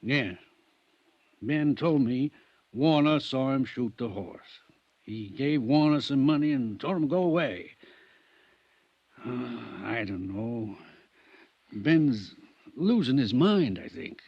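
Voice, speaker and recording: A middle-aged actor playing a reluctant, troubled doctor in a vintage radio drama, speaking hesitantly and haltingly. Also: male voice, medium pitch, lively intonation, slightly rough, dry recording, full character voice. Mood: troubled, resigned. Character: reluctant, troubled male country doctor, loyal old friend. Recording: dull, dry vintage radio recording.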